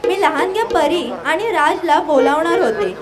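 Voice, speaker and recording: Very high-pitched woman announcing. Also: animated, moderate pace, over loud music. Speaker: event announcer. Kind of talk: scripted stage announcement. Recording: live hall sound with crowd noise.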